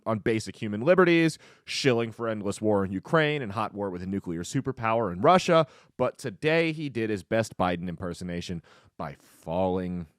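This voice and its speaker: Twenties male commentary host, sarcastic and mocking, animated delivery, dry close-miked sound. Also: mid-range voice, no echo. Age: 20s. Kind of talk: opinionated political commentary.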